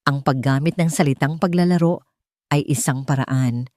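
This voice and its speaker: A Filipino woman narrates a story in a low, mellow voice. The recording is close and dry, with the scripted delivery of an audiobook. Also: normal speed, dry recording.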